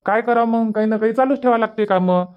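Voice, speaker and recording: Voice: mid-range voice, quick pace, smooth, muffled recording, natural voice. A performer voicing a polite, busy young village man in a Marathi cartoon comedy, with a Varhadi accent and a respectful, coaxing manner. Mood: earnest. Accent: Varhadi Marathi from Vidarbha.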